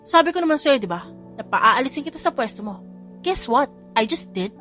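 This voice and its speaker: A woman's voice: sits high, animated, a little fast, pushed and bright, faint music behind, pitched above the performer's own voice. A woman narrator voicing Elizabeth, a bold and emotional young woman who exclaims and gloats in an audiobook story. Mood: gloating. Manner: gloating then tearful, exclaiming.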